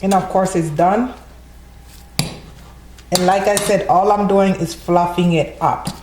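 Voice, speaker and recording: A woman's voice: lowish voice, fairly even intonation, measured pace, noisy background. Casual woman host, friendly tutorial voice-over, a bit of room echo. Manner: friendly, chatty, addresses viewers as guys. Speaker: cooking channel host. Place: home kitchen.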